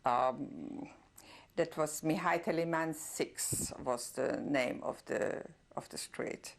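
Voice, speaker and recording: An older woman whose first language is German, giving a reflective oral history testimony with many pauses and soft laughs. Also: deep voice, creaky. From Czernowitz, Bukovina. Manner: reflective, pausing often with fillers, soft laughs. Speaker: interviewee, Holocaust survivor.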